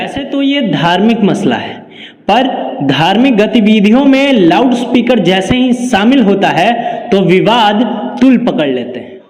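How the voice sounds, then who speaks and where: High-pitched man presenting in an echoey room. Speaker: presenter of a news explainer video. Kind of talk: scripted explanatory narration with commentary.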